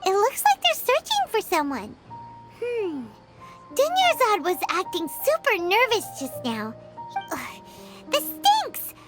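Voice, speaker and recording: Super high squeaky little girl game sidekick, brash and excitable, loud music under it. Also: heavily acted. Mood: puzzled. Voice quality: squeaky childlike. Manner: brash, exclamatory. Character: tiny excitable childlike girl companion, bossy and protective. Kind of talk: animated game voice acting. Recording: music playing behind the voice.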